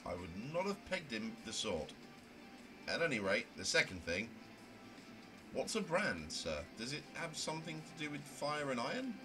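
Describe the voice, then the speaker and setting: A man's voice: mid-range voice, big pitch swings, moderate pace, pitched above the performer's own voice, natural voice. A man voicing a naive, chatty, prim young newcomer who narrates a visual novel in a wordy, rambling way. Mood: curious, rambling. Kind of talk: amateur visual novel voice acting, first-person narration. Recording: music under the voice. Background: music.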